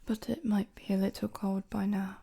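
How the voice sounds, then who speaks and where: Breathy, soft-spoken gentle queen, acted by a woman. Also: medium pitch, little pitch movement, moderate pace. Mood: apologetic, lightly teasing. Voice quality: soft, breathy, often near-whispered. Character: young queen, gentle, playful, secretly fond of servant. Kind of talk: intimate audio roleplay addressed to the listener.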